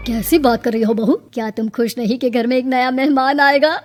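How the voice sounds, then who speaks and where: A woman voicing a fussy, doting older father-in-law in an animated story, giving earnest instructions. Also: fairly high pitch, a little fast, big-room sound, faint music behind, close to an everyday voice.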